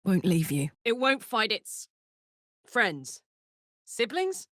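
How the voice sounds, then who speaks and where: A hearty tiefling warrior woman for a fantasy video game. She is blunt and earthy yet warm-hearted, speaking at an unhurried pace with big swings in pitch. The recording is dry and close. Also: dry recording. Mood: urgent, loud. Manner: expressive, informal, blunt and hearty. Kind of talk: video game character voice acting.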